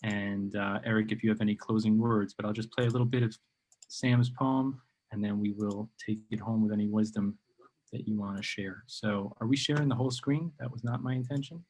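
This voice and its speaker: A man's voice: on the low side, steady tone, fairly quick, a little mellow, rough recording. Chatty enthusiastic male host. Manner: enthusiastic, rambling with many fillers. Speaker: host of a live video interview show. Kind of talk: casual live-stream interview.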